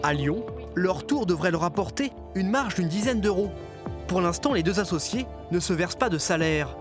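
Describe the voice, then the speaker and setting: High-pitched male documentary narrator over music. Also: expressive, normal speed. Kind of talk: scripted reportage narration.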